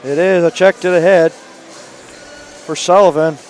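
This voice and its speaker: Raspy, high-voiced hockey play-by-play man. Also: soft background music. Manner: rapid, rising to excited calls on scoring chances. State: hoarse from days of calling games. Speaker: play-by-play announcer. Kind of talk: live hockey play-by-play commentary. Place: arena broadcast booth.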